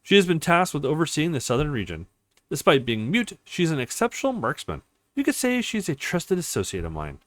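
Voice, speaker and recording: A man voicing a formal, composed interim leader of a crime council in a visual novel, speaking in a measured way. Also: fairly high pitch, wildly swooping pitch, fairly bright tone, no echo. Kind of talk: reading visual novel dialogue.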